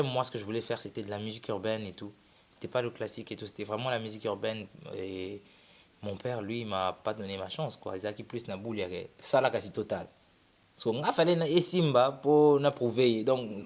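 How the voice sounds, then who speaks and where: A young man from Congo-Kinshasa, a musician telling his story in a casual, rambling way, often restarting his sentences. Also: fairly low pitch. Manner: relaxed, rambling, with many restarts. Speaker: musician guest. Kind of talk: casual interview, mixing French and Lingala.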